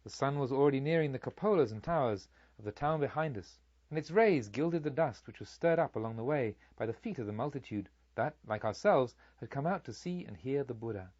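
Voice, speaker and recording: A man reading a literary story aloud as an audiobook narrator, in a measured, formal storytelling manner. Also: mid-range voice, animated, darkish tone, no room sound, lo-fi sound.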